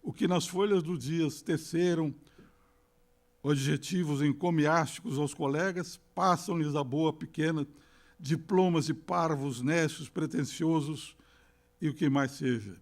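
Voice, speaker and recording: An older man giving a formal lecture, reading passages aloud and stopping for halting asides with fillers and self-corrections, speaking at a podium microphone in a lecture hall. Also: a little high. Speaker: lecturer at a literary academy. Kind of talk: formal lecture with read quotations.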